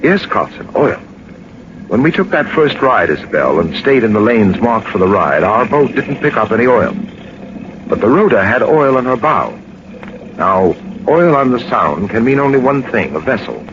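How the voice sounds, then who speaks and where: An actor playing a suave, commanding gentleman detective in a 1940s radio drama, speaking with assured authority. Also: mid-range voice, big pitch swings, normal speed. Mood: calm, explanatory. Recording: noisy, dull 1940s radio recording.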